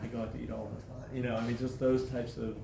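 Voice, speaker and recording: A man's voice: medium pitch, level tone, quick pace, gravelly, reverberant, background noise. A middle-aged male teacher talking with a student, reflective and repeating words as he thinks. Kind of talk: classroom discussion.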